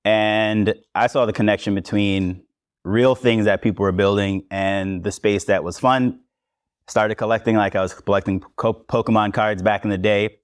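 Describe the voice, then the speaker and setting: A man with a Jamaican accent, a podcast host speaking on a conference panel in a relaxed, conversational way. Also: on the low side, moderate pace, smooth, no room sound, clean studio sound. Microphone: lavalier mic.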